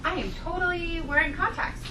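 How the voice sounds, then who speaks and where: A woman's voice: very high voice, a little fast. A woman playing a shy, awkward, stoned college student with new powers, at a comedy script table read. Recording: echoey room, distant mic, clear noise.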